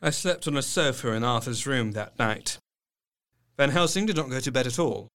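An actor playing a grieving young doctor, narrating his diary in a measured, literary and solemn way. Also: mid-range voice, expressive, a bit bright. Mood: grave. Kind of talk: dramatic first-person audio drama narration. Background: music.

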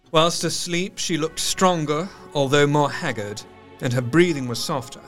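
Grave Victorian doctor, lightly high voice. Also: male voice, fairly bright tone. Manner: formal, measured diction. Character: grave, careworn Victorian doctor narrating his diary. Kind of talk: scripted diary narration in gothic audio drama. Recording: faint music behind. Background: music.

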